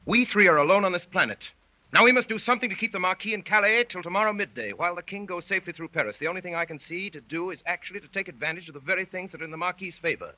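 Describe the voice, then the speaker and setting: Earnest young poet-policeman, sharp bright voice, urgent theatrical delivery, 1930s radio drama, dull old recording. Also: sits high, lively intonation, racing, heavily acted. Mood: rousing, resolute. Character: earnest young gentleman poet turned undercover policeman, narrating his adventure.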